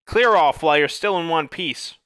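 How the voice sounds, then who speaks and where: A man voicing a gruff, touchy male monster with a bear's head, roaring and blustering, raising his pitch. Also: lively intonation, moderate pace, bright, forceful tone, no echo.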